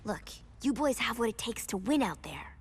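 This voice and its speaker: A woman's voice: on the high side, very animated, moderate pace. A woman voicing a spirited, determined young mechanic in a video game, with a sassy delivery. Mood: confident, teasing. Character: headstrong, spirited young female mechanic and daughter. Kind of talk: video game cutscene acting. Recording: music under the voice. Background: music.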